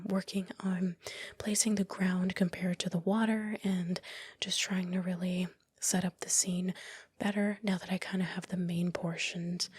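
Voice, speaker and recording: A woman giving soft, unhurried ASMR commentary in a breathy whisper, explaining informally, close to the microphone. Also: fairly low pitch, dry recording. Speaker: video creator.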